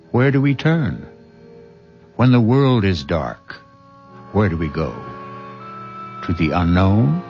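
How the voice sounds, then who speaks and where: Deep, slow, solemn male radio narrator. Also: vocal fry, a little mellow. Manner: solemn, rhetorical. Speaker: host and narrator. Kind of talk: dramatic scripted radio narration. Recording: dull old radio broadcast. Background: faint music.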